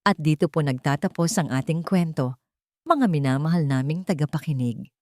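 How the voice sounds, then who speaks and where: A woman's voice: low voice, moderate pace, a little mellow, no echo, studio quality. A woman reading scripted, dramatic story narration.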